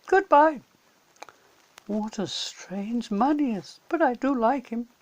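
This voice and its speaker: A man's voice: extremely high pitch, lively intonation, slow, pitched above the performer's own voice, heavily acted. A man voicing a polite, sincere and doubtful schoolchild in a children's storybook. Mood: curious.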